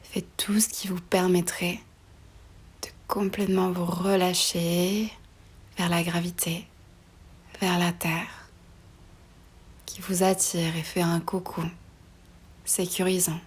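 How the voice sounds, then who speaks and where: A woman guiding a relaxation meditation in a soft, breathy voice often near a whisper, slow and soothing, with pauses between short phrases. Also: lowish voice, flat delivery. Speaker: yoga nidra meditation guide.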